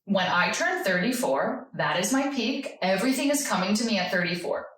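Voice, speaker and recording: A woman's voice: mid-range voice, sing-song, moderate pace, fairly bright tone. Gossipy twenty-something woman, teasing her cohost, echoey room, phone mic a bit far away. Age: 20s. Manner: teasing, gossipy, laughs often. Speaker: podcast co-host. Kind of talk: casual chat.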